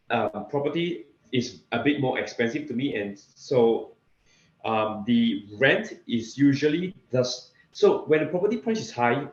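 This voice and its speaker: A man from Singapore with a Singaporean accent, talking casually as an interview guest in a halting way, often repeating words. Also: medium pitch. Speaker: interview guest, real estate investor. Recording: echoey room, slightly dull sound.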